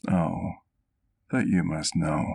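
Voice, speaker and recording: Casting: male actor for a genteel, doting vampire doctor in ASMR roleplay, low-pitched and unhurried, affectionate and gently teasing. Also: gravelly, full character voice. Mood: proud, tender. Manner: genteel, formal diction, affectionate. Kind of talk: intimate ASMR roleplay acting.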